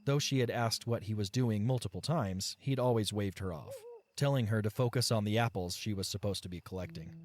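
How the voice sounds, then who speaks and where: A man's voice: lowish voice, fairly quick. A man narrating a scripted fantasy audio story as a storyteller. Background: background music.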